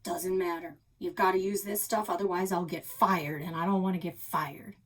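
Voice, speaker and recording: A woman's voice: fairly low pitch, fairly even intonation, moderate pace, natural voice. A woman voicing a wry, grumbling older grandfather with a folksy, joking manner, in a children's book read-aloud. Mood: dryly joking.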